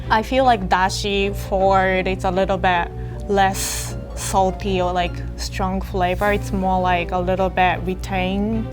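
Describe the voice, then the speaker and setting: A young woman from Japan with a Japanese accent, chatting enthusiastically about travel spots in loose, giggly English. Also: medium pitch. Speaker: co-host, travel recommender. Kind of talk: casual travel chat. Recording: music playing underneath.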